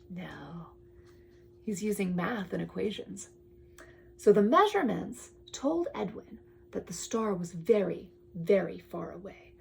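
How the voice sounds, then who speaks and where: Animated woman reading aloud to a child. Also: medium pitch, gravelly, a little hiss or hum. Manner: expressive, wonder-filled, often dropping to whispers. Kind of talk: children's read-aloud with chatty asides.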